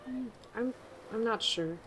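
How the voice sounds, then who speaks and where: A fragile, open-hearted woman in an audio drama. She talks very slowly and haltingly, in a natural way. There is clear ambient noise around her. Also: fairly high pitch, fairly bright tone. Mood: hesitant. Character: emotionally open, fragile woman in self-help group. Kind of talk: naturalistic audio fiction acting.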